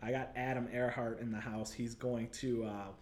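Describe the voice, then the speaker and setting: A man's voice: medium pitch, level tone, normal speed, a little hiss or hum. Chatty Chicago-accented man hosting a livestream. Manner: chatty, upbeat, lots of "like" and "totally". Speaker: livestream show host. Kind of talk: casual live interview chat.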